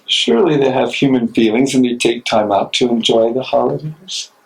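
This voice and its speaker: A man's voice: medium pitch, moderate pace, creaky, natural voice. A man playing an imprisoned, devout and defiant German theologian in a dramatic stage reading, with an impassioned, declamatory delivery. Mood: pensive. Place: library room, live reading. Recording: echoey room, distant mic, noisy.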